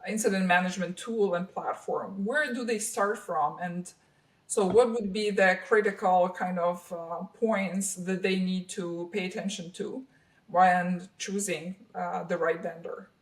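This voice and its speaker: A woman from Ukraine hosting an interview, affirming often and pausing on fillers. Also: fairly low pitch, steady tone, reverberant, distant mic, rough recording. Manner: warm affirmations, frequent hesitations and fillers. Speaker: podcast host.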